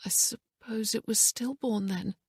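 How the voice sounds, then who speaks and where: A woman's voice: medium pitch, moderate pace, vocal fry, a little mellow, breathy edge, no echo, clean studio sound, pitched above the performer's own voice. A woman voicing a fragile, grieving young mother who turns evasive and agitated under questioning. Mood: distressed. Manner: tense and evasive, voice rising when pressed.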